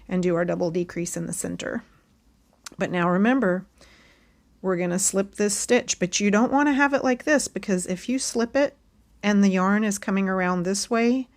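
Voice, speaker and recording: Hoarse-ish lady walking you through knitting steps, chatty and easy, talks while she works. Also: medium pitch. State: losing her voice. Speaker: knitting tutorial host. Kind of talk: casual step-by-step tutorial.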